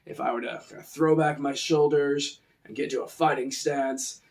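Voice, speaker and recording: Tough burly brawler guy, some man doing the voice, pitched down, for an rpg. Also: fairly even intonation, normal speed, distant mic, close to an everyday voice. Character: low-voiced burly male brawler for roleplaying game.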